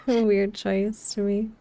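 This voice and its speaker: A woman's voice: medium pitch, steady tone, slow pace, mellow. A woman chatting casually as she streams a video game, talking close to the microphone. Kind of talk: casual livestream chat and commentary.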